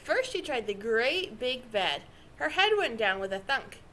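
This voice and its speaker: A woman reading a children's story aloud with expressive, dramatic narration. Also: a little high, measured pace, a little hiss or hum. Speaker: storybook reader.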